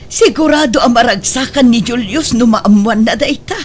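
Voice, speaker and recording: An actress playing a doting older mother and grandmother, comforting, affectionate and expressive, in an audio drama. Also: a little high, normal speed, slight background noise, close to an everyday voice. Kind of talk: Ilocano audio drama acting.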